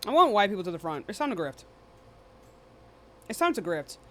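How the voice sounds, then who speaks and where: A woman's voice: medium pitch, sing-song, fairly quick, a bit bright. A woman hosting a live debate stream in a casual, blunt, dismissive and often profane way. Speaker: livestream debate host.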